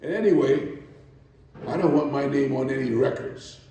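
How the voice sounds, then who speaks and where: A man's voice: medium pitch, normal speed, dark tone, a little hiss or hum, natural voice. A man voicing a seasoned, streetwise woman day worker who gives blunt, laconic advice in a dramatic reading. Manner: laconic, warning, colloquial. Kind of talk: dramatic reading of archival testimony. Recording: echoey room, mic far away.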